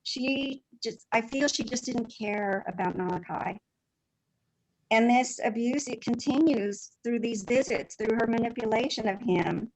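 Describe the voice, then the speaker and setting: A woman's voice: fairly high pitch, unhurried, darkish tone, low-quality recording. A woman testifying as a grandmother seeking custody over a video call, earnest and emotional in her answers. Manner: earnest and emotional, with short affirmatives.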